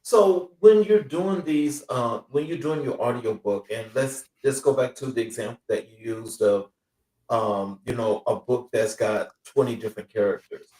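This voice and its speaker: A man hosting an interview asks questions in a measured, slightly hesitant way. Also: medium pitch, dark tone. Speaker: podcast host. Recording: roomy, distant mic.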